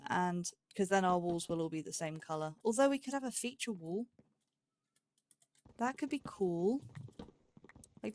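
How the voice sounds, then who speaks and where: A woman's voice: mid-range voice, darkish tone, slight background noise. A woman giving casual let's play commentary, musing aloud and questioning herself as she goes. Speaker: host of a gaming let's play series.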